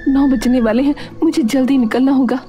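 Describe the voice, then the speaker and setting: A woman playing an anxious village woman in an animated horror story. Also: high voice, a little fast, faint music behind, natural voice. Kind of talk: acted animated story dialogue.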